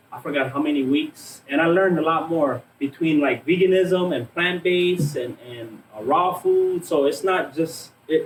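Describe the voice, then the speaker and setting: A man in his thirties tells his story in a loose, rambling way, full of fillers and restarts. His voice sits a little high for a man. He was recorded from a distance in an echoey room, with faint noise behind him. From Hawaii, raised in Las Vegas. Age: 30s. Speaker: vegan cook and guest. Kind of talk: casual storytelling.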